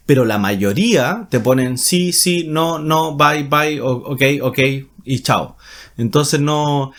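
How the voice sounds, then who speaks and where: A man with a Chilean Spanish accent talking casually and rambling, with many fillers and self-corrections as he explains his path as a writer. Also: mid-range voice. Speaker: writer and channel host. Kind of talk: casual monologue.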